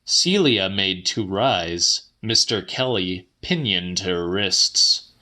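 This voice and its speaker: A man with a fairly low, forceful voice narrates literary fiction. He reads slowly and precisely, shaping each phrase with a touch of drama. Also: animated. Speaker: audiobook narrator.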